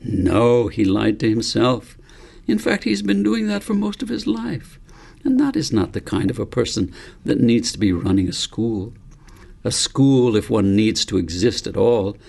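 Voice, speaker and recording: Man reading as wise old grandfather, storybook mentor, gravelly, calm, patient, measured explanations. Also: fairly low pitch, heavily acted. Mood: firm, wise.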